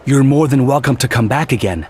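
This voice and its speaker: A man's voice: medium pitch, a little fast, darkish tone, a little hiss or hum, lightly acted. A man playing a polite, apologetic arcade attendant with a courteous customer-service delivery in game dialogue.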